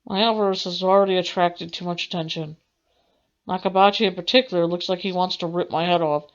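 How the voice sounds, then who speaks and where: A woman's voice: lowish voice, steady tone, brisk. A woman game streamer reading visual novel narration aloud in a theatrical way. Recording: dull audio with faint music.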